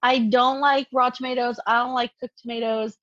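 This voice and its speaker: A woman's voice: fairly high pitch, level tone, moderate pace, fairly bright tone, dry recording, dull audio. A woman co-hosting a podcast in casual, blunt chat with some swearing. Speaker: podcast co-host.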